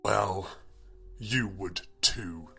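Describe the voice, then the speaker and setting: Cartoon gentleman Death, played by a man: low-pitched, resigned, drawn-out delivery, sardonic and understated. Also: gravelly, slightly rough, exaggerated voice. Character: weary, sardonic gentleman reaper of death, reluctant.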